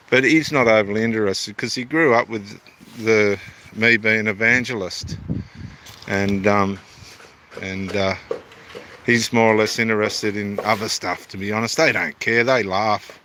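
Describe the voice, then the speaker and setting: A man's voice: lowish voice, sharp tone, slight background noise. A middle-aged Australian man, an evangelist and theologian, talking casually and meanderingly to camera at a building site by the water. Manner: meandering, self-deprecating, homely. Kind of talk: casual rambling talk to camera.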